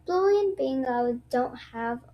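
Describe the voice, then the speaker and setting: A woman's voice: sits high, lively intonation, slow pace, highs cut off. A woman reading a children's storybook aloud, sometimes stumbling over her words. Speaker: storybook read-aloud presenter.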